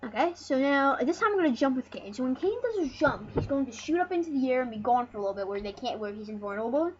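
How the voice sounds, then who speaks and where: An excitable, rambling host giving casual, jokey commentary over a video game. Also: high voice, fast talker. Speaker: host of a gaming channel. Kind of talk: casual let's play commentary. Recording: dull lo-fi mic with loud noise.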